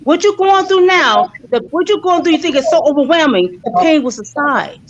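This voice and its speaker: Insistent preachy middle-aged woman, high voice. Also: lively intonation, moderate pace, very noisy. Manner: preachy, insistent, repeats herself. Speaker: viewing-party panelist. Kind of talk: casual group chat. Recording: slightly dull lo-fi stream audio.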